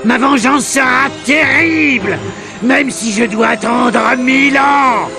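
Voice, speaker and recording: A man voicing a ranting, megalomaniac male mad scientist villain in a French video game dub, with a shrill, gravelly, cackling voice and game music behind. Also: takes it slowly, heavily acted. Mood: scheming.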